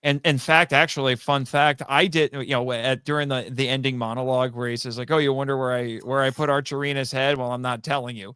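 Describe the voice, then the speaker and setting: Male podcast guest, writer type, crisp and dry-sounding, relaxed conversational storytelling. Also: medium pitch, a little fast, few pauses, no echo. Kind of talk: casual interview chat.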